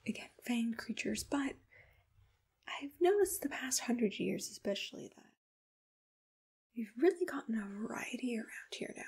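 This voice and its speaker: A woman playing a gentle, soothing monster matchmaker in a whispered ASMR roleplay, unhurried and reassuring. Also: a little high, animated, full character voice. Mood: chatty, confiding. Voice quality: breathy, whispery. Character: soft-spoken, accommodating female monster matchmaker. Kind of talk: ASMR personal-attention roleplay, mostly whispered.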